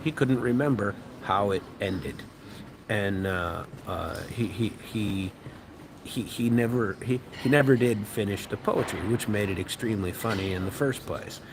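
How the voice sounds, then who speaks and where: An American man and radio host tells a meandering story, stopping for fillers and restarting his sentences. His voice sits somewhat low. Steady noise from the restaurant around him fills the background. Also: measured pace. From United States. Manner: rambling, many fillers and restarts. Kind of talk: casual storytelling monologue. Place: restaurant or bar, eating while talking.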